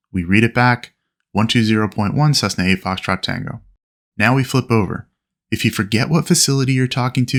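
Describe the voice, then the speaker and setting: Low-pitched man giving flight instruction. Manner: clear, methodical explaining with aviation radio phraseology. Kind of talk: instructional narration of a simulated flight.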